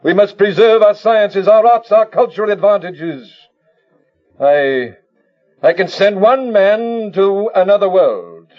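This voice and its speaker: A man's voice: high voice, animated, slow. A man playing a grave alien elder, a scientist leading a doomed planet, declaiming theatrically in an old radio drama. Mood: solemn. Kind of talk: old-time radio sci-fi drama acting. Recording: dull lo-fi old radio recording.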